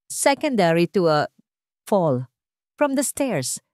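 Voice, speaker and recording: A woman with a Filipino accent voicing an anxious younger brother in a story, urgent and halting. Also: on the low side, sing-song, a little mellow, no echo, studio quality. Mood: shaken. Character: anxious younger brother searching alongside his older brother. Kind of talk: dramatic storytelling.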